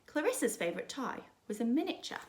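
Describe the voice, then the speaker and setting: A woman reading a children's story aloud like a primary school teacher, dramatically, with emphatic pauses and hushed drops. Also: a little high, very animated, slight background noise. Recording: roomy, echoing sound.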